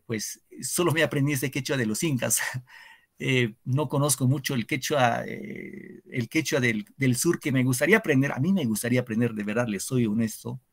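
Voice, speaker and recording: A man, teaching an online literature class to secondary students in an earnest, reflective way, often asking rhetorical questions. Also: mid-range voice. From Peru.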